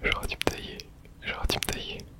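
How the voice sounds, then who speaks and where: A woman whispering casual, slangy ASMR commentary as she plays a horror video game. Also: fast.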